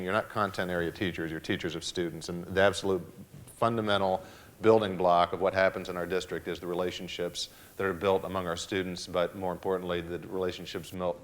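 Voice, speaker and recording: A man with a very low voice speaks as a school district administrator at a town hall panel. He is earnest and often restarts, repeating words as he goes. A faint rumble sits in the background. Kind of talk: panel discussion answer.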